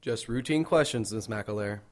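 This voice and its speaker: A man's voice: fairly low pitch, normal speed, natural voice. A man playing an irritable, formal police detective in an audio drama, sounding put-upon. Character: official, irritable male police detective questioning a witness.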